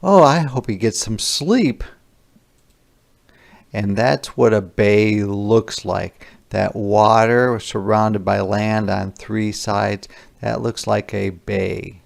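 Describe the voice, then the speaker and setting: A man with a creaky voice reading a children's picture book aloud and pausing to explain words simply. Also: fairly low pitch.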